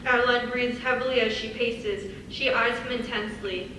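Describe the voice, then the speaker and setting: A woman's voice: on the high side, fairly even intonation, moderate pace. A young woman reading stage directions as scripted narration for a live dramatic reading. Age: young adult. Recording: distant echoey hall, faint noise.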